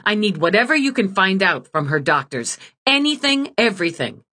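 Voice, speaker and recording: A woman voices a tough police lieutenant in an audiobook, barking clipped orders with a slightly raised, forceful pitch. The husky voice swings lively between words. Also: normal speed. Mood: urgent. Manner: clipped, commanding. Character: hard-driving woman police lieutenant, curt.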